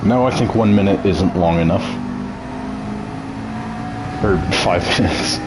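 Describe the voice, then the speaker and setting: A man's voice: deep voice, takes it slowly, heavy background noise. A young man chatting casually as a game streamer, nasal and ill, joking, laughing often and tripping over his words.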